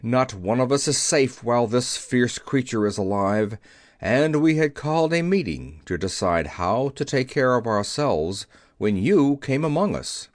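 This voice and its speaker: A grave tiger performed by a man reading aloud pleads for the frightened beasts of a storybook forest. The delivery is deferential and expressive, recorded very dry. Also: medium pitch, a little slow, no echo, close to an everyday voice. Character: fearful, respectful male tiger, spokesman of forest beasts.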